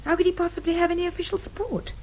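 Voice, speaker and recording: A woman's voice: very high-pitched, level tone, racing, a little mellow, slight background noise. An actress playing an insistent young Englishwoman in a British radio drama, speaking emphatically and precisely with an English accent. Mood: firm, defiant. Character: insistent young Englishwoman, eyewitness who will not be doubted.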